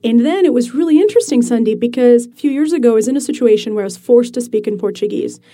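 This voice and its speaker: Woman, author guest, animated explainer, mellow voice, fairly high pitch. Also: fast. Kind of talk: radio interview.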